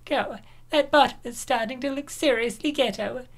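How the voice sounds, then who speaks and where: Lady doing a pushy cheerleader bestie, brisk and coaxing, kinda high. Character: bossy, upbeat young woman best friend. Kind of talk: comic dub reading.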